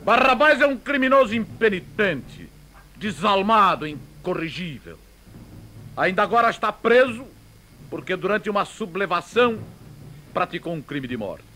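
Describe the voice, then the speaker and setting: An actor playing an imperious Roman governor who wavers before a mob, declaiming in deliberate, oratorical phrases in a religious drama. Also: male voice, high voice, very animated, bright, forceful tone, slight background noise, exaggerated voice. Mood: measured, oratorical. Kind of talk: theatrical religious audio drama.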